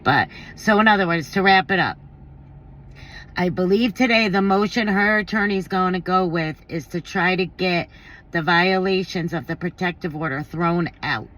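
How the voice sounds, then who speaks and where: A woman's voice: lowish voice, moderate pace, a bit bright, rough recording. A woman giving casual opinion commentary, thinking aloud in a blunt, informal, slangy way. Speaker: commentary vlogger.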